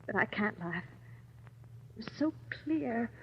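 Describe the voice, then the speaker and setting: High-pitched, frightened young-sounding wife. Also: female voice, expressive, measured pace. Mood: troubled, earnest. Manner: faltering, breathless pleading. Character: frightened, high-strung married woman who fears her visions. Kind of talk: old-time radio drama acting. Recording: muffled, hissy old radio recording.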